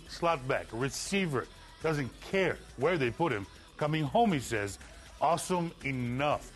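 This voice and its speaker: A man's voice: fairly high pitch, a bit bright. A middle-aged man reading scripted sports news as a television anchor in a studio.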